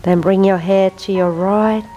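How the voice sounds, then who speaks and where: Calm, slow Finnish woman guiding yoga. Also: medium pitch, soft background music. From Finland. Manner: slow, soothing cueing of breath and movement. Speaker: yoga teacher. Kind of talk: guided yin yoga instruction.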